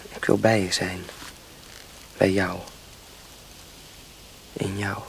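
An actor playing a tender, anxious young lover in a radio drama, earnest and halting, often murmuring. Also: male voice, deep voice, flat delivery, heavily acted, rough recording. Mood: enraptured, amorous. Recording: clear outdoor nature noise.

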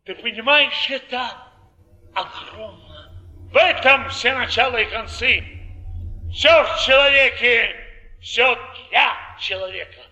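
A proud, tipsy jailbird philosopher on a 1950s Russian theatre stage. He declaims in a high, piercingly bright voice, emphatic and fervent, with weighty pauses. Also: exaggerated voice. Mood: exalted, oratorical.

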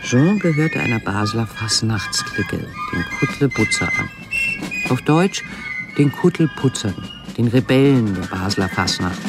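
Radio drama female artist-narrator: measured, literary, animated pitch, music underneath. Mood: measured, recounting. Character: woman artist narrating her memories and inner torment.

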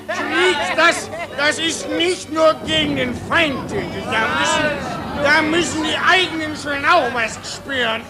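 Loud crowd noise fills an old radio recording. a callous, tipsy Austrian officer, high and lively in pitch. He rambles slowly and haltingly. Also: poor audio. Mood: callous, boastful. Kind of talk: 1940s German radio play acting.

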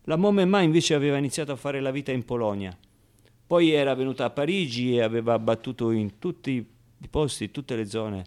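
A man's voice: medium pitch, animated, fairly quick, darkish tone, clear, resonant tone, natural voice. A man playing a naive, streetwise ten-year-old orphan boy in a colloquial theatrical radio monologue. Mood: frank, reflective. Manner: colloquial, ungrammatical, with interjections and rhetorical asides.

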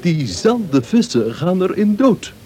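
An actor playing a jovial, chatty oceanologist professor in a radio play, with an expansive manner. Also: male voice, sits high, big pitch swings, takes it slowly, warm tone, full character voice. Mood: eager, explanatory.

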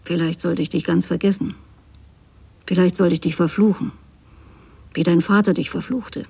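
Radio drama mother: possessive, lonely, bitter; dark, very breathy, intimate hushed monologue. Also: fairly low pitch, fairly even intonation, moderate pace.

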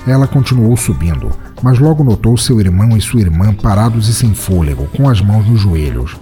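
A man's voice: on the low side, animated, a little fast. A man giving dramatic, suspenseful audiobook narration. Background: soft background music.

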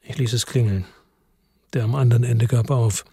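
A man reading first-person literary narration in a measured, brooding, melancholic manner. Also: mid-range voice, fairly even intonation, heavy background noise. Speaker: audiobook narrator.

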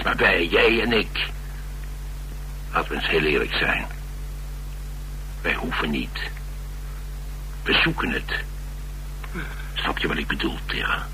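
An actor playing a cynical, battle-hardened adventurer who craves danger, talking persuasively in in a radio drama. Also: male voice, low voice, bright, forceful tone, slight background noise, rough recording. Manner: persuasive, emphatic monologuing.

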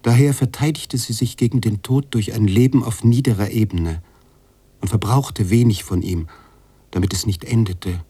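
A man playing a brooding, contemplative narrator-author in a literary radio drama, speaking deliberately with pauses between phrases. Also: on the low side, mellow, husky, no echo. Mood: somber, reflective. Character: brooding male narrator-author, contemplative, troubled by his heroine.

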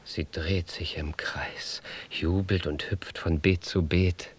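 An actor playing a lovestruck, courtly knight in a rhymed fairy-tale drama, speaking in formal verse. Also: male voice, low voice, steady tone, slow pace, breathy edge, whispered, heavily acted. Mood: enchanted. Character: lovestruck noble knight, captive turned gardener, courtly and eager. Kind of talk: rhymed theatrical musical fairy-tale acting.

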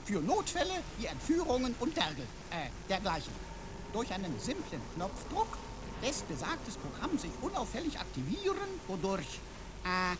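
An actor playing a smug, wisecracking computer companion who talks in pompous, florid, mock-learned phrases in a radio play. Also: male voice, sits high, wildly swooping pitch, normal speed, heavy background noise. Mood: lecturing, pompous. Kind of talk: German radio play acting.

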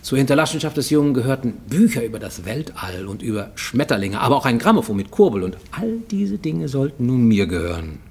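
An actor playing a grown man, orphaned as a child, who recounts his past in a measured radio-play monologue with dramatic pauses. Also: medium pitch, sing-song, a little mellow, slightly rough. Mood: confiding, wry. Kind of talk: literary first-person monologue in a radio play. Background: music.

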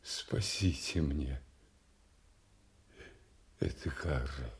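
Broken old nobleman, low gravelly tone, extremely slow and halting, remorseful, classical audio drama. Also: heavily acted. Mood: remorseful, praying. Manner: halting, faint, grief-laden. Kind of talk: classical Russian stage tragedy acting.

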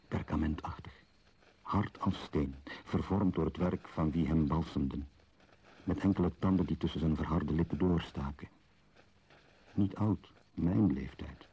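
An actor playing a contemplative archaeologist in a radio play, speaking a husky, measured and solemn literary monologue. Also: male voice, extremely low pitch, warm tone, exaggerated voice. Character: contemplative male archaeologist tenderly unwrapping an Egyptian mummy.

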